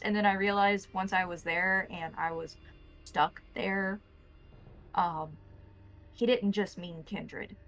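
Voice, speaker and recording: A woman's voice: mid-range voice, fairly bright tone, natural voice. A woman playing a captured female vampire drifter in a tabletop game, wary and frustrated, rambling as she bargains. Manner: rambling, mouthy, pleading.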